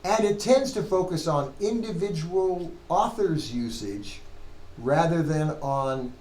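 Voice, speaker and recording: A man's voice: on the high side, expressive, slow pace, darkish tone. A man gives an informal lecture in an echoey room, with some background noise around his voice. He talks conversationally, asking rhetorical questions and correcting himself along the way. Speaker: seminary Hebrew lecturer. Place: classroom with students. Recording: roomy echo, clear background noise.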